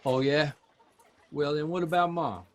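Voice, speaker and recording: An actor playing an earnest, worried grown son in a naturalistic audio drama, halting and exasperated but affectionate. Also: male voice, on the high side, a little hiss or hum, natural voice. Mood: pressing. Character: earnest grown son, a newspaper writer, worried about his aging father. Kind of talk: naturalistic audio drama acting at a live reading. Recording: music behind a live stage reading.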